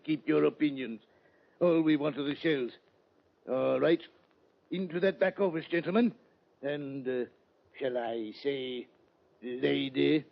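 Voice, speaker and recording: An actor playing an oily, pompous fat villain posing as a doctor in a radio western, mock-courteous with drawn-out hesitations. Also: male voice, medium pitch, gravelly. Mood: smug menace. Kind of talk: 1940s-50s radio western acting. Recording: dull lo-fi old radio recording.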